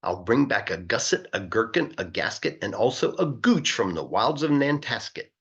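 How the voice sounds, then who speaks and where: Man reading rhymes, lively husky voice. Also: medium pitch, moderate pace, highs cut off. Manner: playful, emphatic delivery of verse. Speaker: English teacher reading aloud. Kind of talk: expressive read-aloud of a rhyming children's book.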